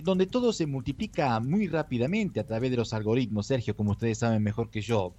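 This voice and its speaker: A man's voice: mid-range voice, mellow. A man from Argentina whose Argentine Spanish carries Italian touches. He reports as a correspondent in Europe, explaining at length and tagging his points with rhetorical questions. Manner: measured, explanatory, tags sentences with rhetorical checks. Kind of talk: phone-in radio commentary.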